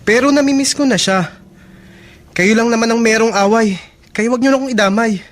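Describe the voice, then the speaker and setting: A woman's voice: on the high side, a little fast, lightly acted. A woman voicing an ambitious young male student in a radio drama, pleading earnestly with his strict older sister.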